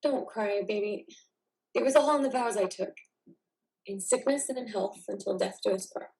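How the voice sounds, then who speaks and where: A woman playing a devoted, weary soldier's wife in a stage-style drama, tender but exasperated, with a breathy voice. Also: medium pitch, moderate pace, darkish tone, rough recording.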